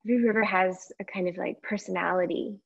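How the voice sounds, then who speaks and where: A woman teaching yoga meditation, speaking gently and unhurriedly in short phrases with long pauses between them. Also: medium pitch, steady tone, gravelly, highs cut off. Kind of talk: guided meditation instruction.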